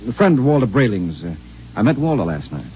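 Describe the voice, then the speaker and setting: An actor playing a distracted husband who answers curtly and mumbles excuses to get away, in 1950s radio drama style. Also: male voice, mid-range voice, very animated, quick pace, darkish tone, natural voice. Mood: evasive. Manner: curt and offhand, mumbling excuses. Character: evasive, distracted husband eager to leave. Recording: dull old radio broadcast with hiss.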